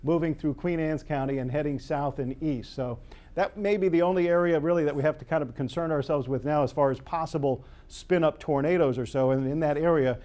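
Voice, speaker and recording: A man's voice: fairly high pitch, fairly quick, mellow. An American man working as a TV weather forecaster, ad-libbing live storm coverage in an explanatory way. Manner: explanatory, unscripted.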